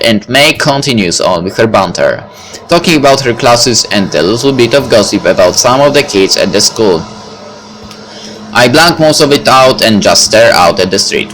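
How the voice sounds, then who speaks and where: A man's voice: mid-range voice, fairly bright tone, low-quality recording. A man reading a visual novel aloud as narrator, with casual asides. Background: background music.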